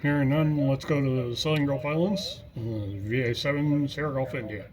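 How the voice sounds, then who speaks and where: A man's voice: medium pitch, steady tone, moderate pace. A man acting as net controller, calling stations in turn in an orderly, procedural way on a ham radio net.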